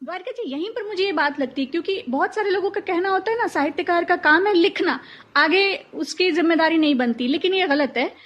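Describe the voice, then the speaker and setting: A woman's voice: extremely high pitch, brisk, a bit bright, highs cut off. A woman hosting a conversational radio interview, putting questions and comments to her guest. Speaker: interviewer, host.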